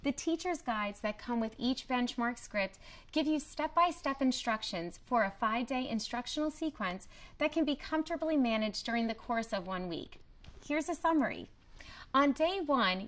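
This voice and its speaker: A woman presenting a promotional training video in a scripted, clearly structured explanatory way. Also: a little high. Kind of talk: scripted instructional narration.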